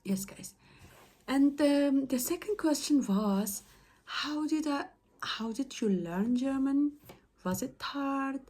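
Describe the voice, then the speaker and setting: Young female study vlogger, Persian accent, unhurried and halting, non-native English. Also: high voice, a little mellow. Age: young adult. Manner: hesitant, halting, many fillers and self-corrections. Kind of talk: casual talk to camera.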